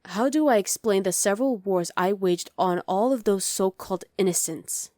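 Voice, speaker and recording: A woman's voice: medium pitch, moderate pace, mellow, dry recording, clean studio sound, close to an everyday voice. A woman voicing a dominant, haughty evil queen in an ASMR roleplay, imperious, sly and condescending. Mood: scornful, exasperated.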